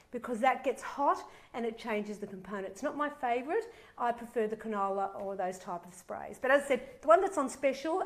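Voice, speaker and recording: A middle-aged woman hosting a live cooking demonstration from her kitchen, chatting bubbly and enthusiastic, a bit nasal from a head cold. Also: on the high side. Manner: bubbly and enthusiastic, reading out viewer comments. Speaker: cooking show host. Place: home kitchen.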